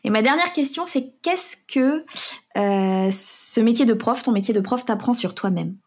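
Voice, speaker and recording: A woman hosting a conversational podcast interview in an encouraging manner, pausing and feeling for her phrasing. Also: a little high, lively intonation, darkish tone, husky. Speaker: podcast host, language teacher.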